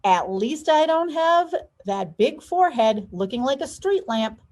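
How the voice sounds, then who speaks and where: Sassy girl dancer, storybook woman reader, high soft tone, cheeky and quick with retorts. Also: very animated, muffled recording. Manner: cheeky comebacks.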